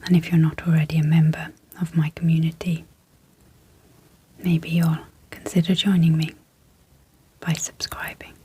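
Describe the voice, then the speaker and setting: Female ASMR hypnosis guide: very soft, breathy and whispery, low-pitched, gentle, with a faint hiss behind. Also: little pitch movement. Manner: slow, gentle, measured with long pauses. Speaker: ASMR host and clinical psychologist.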